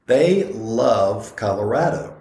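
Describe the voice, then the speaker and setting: A man's voice: on the low side, lively intonation, slow, a little mellow, reverberant, slight background noise. A middle-aged man co-hosting a podcast, chatting in a rambling way with frequent restarts. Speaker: podcast co-host. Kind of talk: casual chat.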